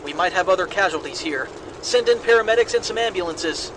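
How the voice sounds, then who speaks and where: An actor playing an agitated male police officer in a horror drama, urgent and excitable as he works a scene. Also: high-pitched, fairly quick. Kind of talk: horror audio drama acting. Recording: noisy scene with sirens.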